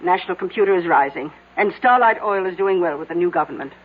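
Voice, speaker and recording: An actress playing a loyal, worried secretary in a radio drama, deferential yet protesting. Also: female voice, on the low side, quick pace, lightly acted. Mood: dutiful. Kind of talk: 1970s radio drama acting. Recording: tinny band-limited old radio, faint hiss.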